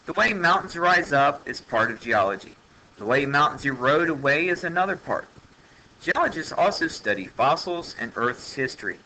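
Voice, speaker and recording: A man's voice: mid-range voice, sharp tone, slight background noise, poor audio. A man reading an educational science text aloud like a teacher, in a plain manner with occasional stumbles. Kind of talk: educational read-aloud narration.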